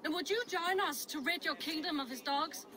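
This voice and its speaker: A woman's voice: sits high, moderate pace, a crowd in the background, lightly acted. An actress playing a steadfast medieval woman leader in a video game, earnest and assured as she speaks. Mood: rallying. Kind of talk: video game cutscene acting.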